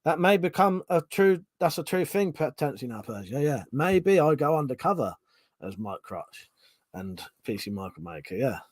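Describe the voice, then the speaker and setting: A man's voice: a little high, big pitch swings, normal speed, no room sound. A middle-aged man chatting casually on a live stream, reading out chat messages, swearing freely and restarting his sentences.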